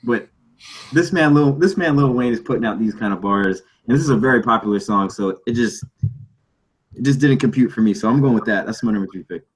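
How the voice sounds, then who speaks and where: A man's voice: medium pitch, fairly quick, husky. A man co-hosting a podcast in casual, colloquial banter, often repeating phrases for emphasis. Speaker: podcast co-host.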